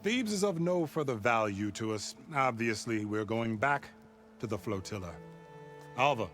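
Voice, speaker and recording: An actor playing a cold, imperious military commander in a video game, measured and contemptuous, over game music. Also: male voice, medium pitch, animated, a bit bright, close to an everyday voice. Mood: coolly commanding. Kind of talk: video game cutscene acting.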